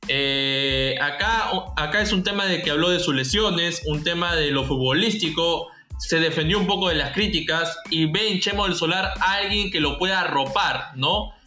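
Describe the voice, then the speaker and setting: A man from Peru hosts a football talk show, speaking in a bright, forceful, fairly high voice. He lays out firm opinions with plenty of emphasis. The sound is roomy and echoey, with faint music underneath. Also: normal speed. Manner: opinionated, emphatic, uses Peruvian sports slang. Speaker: sports show host. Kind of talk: casual sports talk commentary.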